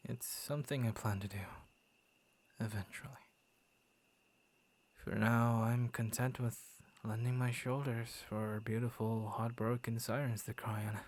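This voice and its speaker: A man playing a gentle, lonely young werewolf in an intimate ASMR roleplay, speaking softly and often slipping into a breathy whisper. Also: mid-range voice, level tone. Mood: soft, confiding. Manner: soft, breathy, often dropping into whispers, hesitant pauses. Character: gentle, lonely young male werewolf turned tender suitor. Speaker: voice actor in audio roleplay. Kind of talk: ASMR boyfriend roleplay, intimate scripted monologue.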